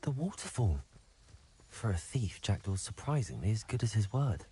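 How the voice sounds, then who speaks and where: A man voicing an earnest, composed young wizard student in a video game, speaking in a polite, measured, musing way. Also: lowish voice, big pitch swings, mellow, slight background noise, low-quality recording. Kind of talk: video game character acting.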